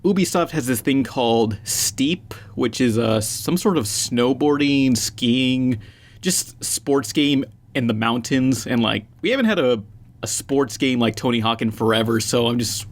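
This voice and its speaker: A man's voice: mid-range voice, unhurried. Casual man hosting a podcast chat. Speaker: podcast host.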